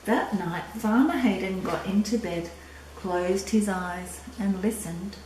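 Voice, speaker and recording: Slow-reading older woman in an echoey room. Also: mid-range voice, darkish tone. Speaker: storyteller reading a picture book to children. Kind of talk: read-aloud children's story with asides. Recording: echoey room, distant mic, faint noise.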